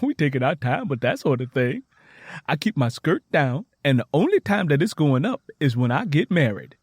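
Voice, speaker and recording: A man voicing an eager, excitable young woman, earnest and folksy, in a narrated story. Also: a little high, moderate pace, husky, no echo. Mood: defensive, indignant.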